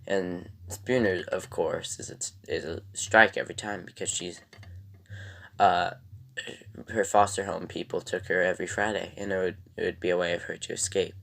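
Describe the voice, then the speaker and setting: A man with a raspy voice casually recapping a TV episode as a reviewer, in a rambling, halting way. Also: deep voice, fairly even intonation, a bit bright.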